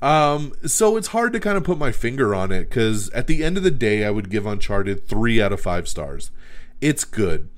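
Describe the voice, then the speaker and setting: A man's voice: on the low side, big pitch swings, moderate pace. A man hosting a podcast, giving a casual, frank and opinionated movie review that rambles with restarts.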